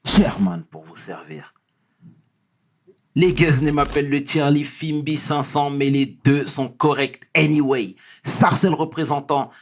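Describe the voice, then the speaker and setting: A male talk show host with an average-pitched voice. He welcomes guests in an upbeat way and drops casual English phrases into his lines. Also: fairly bright tone.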